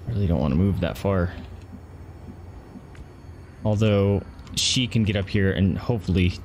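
A man's voice: sits low, measured pace, darkish tone, a little hiss or hum. A man doing casual live gameplay commentary, reacting and swearing as he talks to himself and his viewers. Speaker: game streamer. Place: indoors, streaming setup at a computer. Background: game music.

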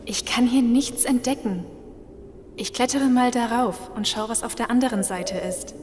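A cautious young survivor, acted by a woman in an audio drama. Her high voice rings in a reverberant hall with clear noise around it. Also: moderate pace, darkish tone, lightly acted. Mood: practical.

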